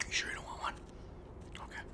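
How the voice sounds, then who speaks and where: A man playing a friendly, awkward, down-on-his-luck barber on the run, rambling haltingly in an ASMR roleplay with a breathy whisper. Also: natural voice. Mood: contented, chatty. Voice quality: breathy whisper with some fry.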